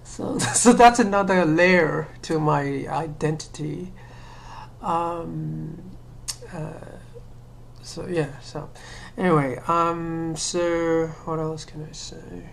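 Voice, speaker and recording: A woman's voice: low-pitched, steady tone, slow pace, gravelly, slight background noise. A woman from Sri Lanka talking to camera in a casual monologue, hesitant, with many fillers and restarts. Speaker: vlogger.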